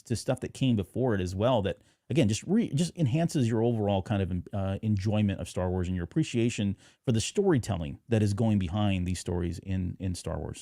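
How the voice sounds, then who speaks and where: A man's voice: lowish voice, warm tone, dry recording. Casual middle-aged man hosting, close dry sound. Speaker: podcast host. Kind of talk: casual fan chat.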